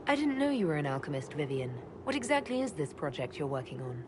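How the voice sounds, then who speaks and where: An actress voicing an elven leader in a video game, speaking plainly and directly. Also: female voice, deep voice, very animated, quick pace, background noise. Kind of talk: video game cutscene dialogue.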